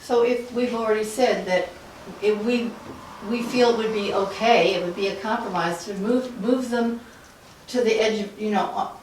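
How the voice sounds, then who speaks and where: A woman's voice: mid-range voice, steady tone, moderate pace, darkish tone. Woman in a very echoey room. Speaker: resident property owner. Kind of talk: public meeting discussion. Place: indoor public meeting room. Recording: very echoey room, distant mic, faint noise.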